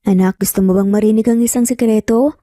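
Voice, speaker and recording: A woman voicing a sincere, gently teasing young father in love in a narrated romance story. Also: medium pitch, steady tone, fast, dry recording, studio quality, close to an everyday voice. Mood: tender.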